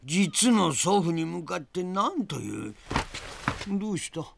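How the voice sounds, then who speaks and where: An actor playing a crusty old grandfather adventurer, gravelly and dramatic, telling his story in old-man diction in a Japanese audio drama. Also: sits high, very animated, slow pace, background noise, heavily acted. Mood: gruff.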